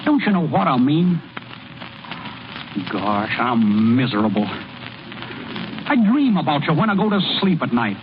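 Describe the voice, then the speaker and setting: A man's voice: mid-range voice, very animated. An actor playing a plainspoken small-town American ballplayer and delivery man in old-time radio style, with a folksy, slangy way of talking. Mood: shy, miserable. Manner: folksy, slangy, halting when shy. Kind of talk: 1930s-40s American radio acting. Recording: muffled, noisy old radio broadcast.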